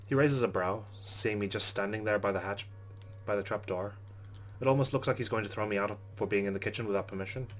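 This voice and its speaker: Guy reading a visual novel out loud, talks fast, lowish voice, throws in commentary. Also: soft background music. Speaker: let's play host reading a game aloud.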